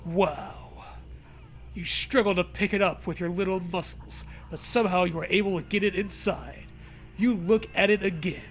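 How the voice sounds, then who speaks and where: A man's voice: high-pitched, steady tone, normal speed, a little hiss or hum. A man reading a silly adventure story aloud, playfully dramatizing it and trading casual banter. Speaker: co-host reading aloud a choose-your-own-adventure parody.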